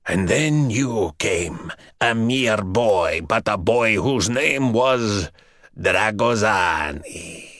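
A man voicing an ancient buried male vampire who speaks in slow, mocking, archaic phrases. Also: lowish voice, animated, fairly bright tone, no echo, studio quality, full character voice. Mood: brooding. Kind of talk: audiobook character voice.

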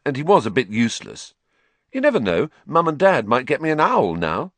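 A man's voice: medium pitch, huge pitch swings, a little fast, fairly bright tone, no room sound, voice pushed up. A man voicing a loyal, awkward teenage boy wizard who talks fiercely and bluntly.

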